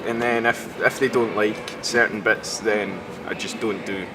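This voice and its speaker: A young man from Scotland, a student and stand-up comedian, chatting casually and in a low-key way in an interview. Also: fairly even intonation, unhurried. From Scotland (Glasgow). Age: young adult. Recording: street noise outdoors.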